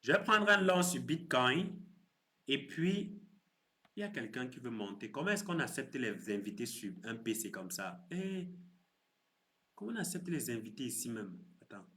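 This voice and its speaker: A man in his thirties hosting a crypto live stream, chatting with viewers in a relaxed, teasing way and explaining his course. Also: mid-range voice, moderate pace. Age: 30s. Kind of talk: casual live stream chat.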